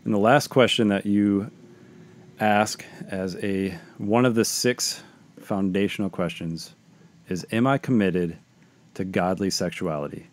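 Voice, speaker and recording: A man's voice: fairly low pitch, steady tone, unhurried. A man co-hosting a podcast discussion about faith in a conversational style. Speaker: podcast co-host.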